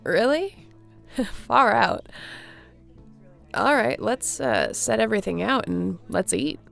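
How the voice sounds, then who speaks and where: Woman playing a creaky-voiced, laid-back surfer girl. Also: mid-range voice, expressive, takes it slowly, a bit bright, soft background music, full character voice. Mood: upbeat. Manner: laid-back surfer slang, chuckling, welcoming. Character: friendly, easygoing young surfer girl hosting a holiday dinner. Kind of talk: audio roleplay, casual chat to the listener.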